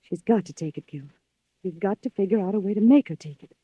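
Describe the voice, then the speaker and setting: A woman's voice: mid-range voice, fast talker, dark tone, breathy edge, no echo, lo-fi sound, close to an everyday voice. An actress playing a ruthless, insistent female accomplice in an old-time radio thriller, urgent and pressing. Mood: urgent, conspiratorial.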